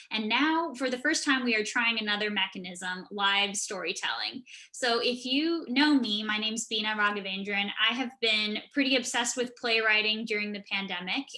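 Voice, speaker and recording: A woman's voice: mid-range voice, pushed and bright. A woman co-hosting an online event and giving introductions over a video call. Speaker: event co-host. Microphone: video call microphone.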